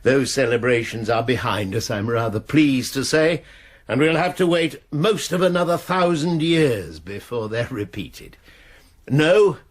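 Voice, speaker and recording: An actor playing a genial, formal, donnish middle-aged master of an English college in a radio drama, with an English accent and a husky voice. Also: male voice, mid-range voice, animated, a little slow. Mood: formal, pleased. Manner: formal, urbane, expository.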